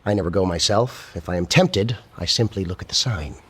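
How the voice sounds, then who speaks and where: An actor playing a gentle, earnest, eccentric male scientist in a radio comedy, husky and sincerely matter-of-fact about absurd things. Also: low-pitched, fairly quick. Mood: serene, matter-of-fact. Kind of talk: radio comedy drama acting.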